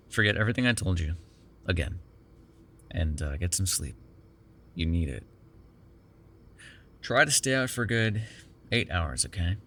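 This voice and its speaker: A man's voice: sits low, expressive, unhurried, pauses often, fairly bright tone, heavily acted. A man playing a chatty, defensive vampire captor in an intimate ASMR roleplay, rambling casually with plenty of swearing. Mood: soft, commanding. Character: chatty, defensive, self-deprecating male vampire captor with a soft spot.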